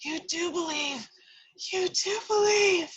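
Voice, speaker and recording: Woman doing a feisty little fairy, high voice, super scornful and theatrical, script read. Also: takes it slowly, lo-fi sound. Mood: pleading, demanding. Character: tiny feisty female fairy, jealous, sassy, bossy. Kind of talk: hobby table read acting.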